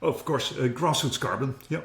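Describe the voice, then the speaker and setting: A man's voice: mid-range voice, moderate pace, gravelly, a bit bright, reverberant. A middle-aged man with a Dutch accent, talking earnestly as a guest in a casual interview and often repeating words as he starts a thought. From Netherlands. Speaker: guest, company co-founder.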